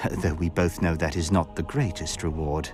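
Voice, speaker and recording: An actor playing a suave, scheming count in a video game, speaking in florid, measured and insinuating phrases. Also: male voice, deep voice, steady tone, darkish tone, soft background music, close to an everyday voice. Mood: smooth urging. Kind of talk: video game cutscene dialogue.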